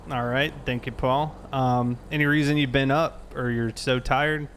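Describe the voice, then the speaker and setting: A man's voice: medium pitch, fairly even intonation, unhurried, fairly bright tone, slight background noise. A man in casual police roleplay, talking in a conversational, explanatory way. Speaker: player roleplaying a police officer. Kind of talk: casual roleplay narration and dialogue.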